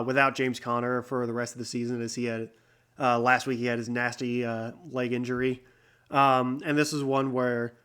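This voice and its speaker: A man's voice: mid-range voice, a bit bright, clean tone. A young man hosting a casual sports recap, talking with a stuffy nose from a cold, rambling with lots of fillers and restarts. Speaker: podcast host.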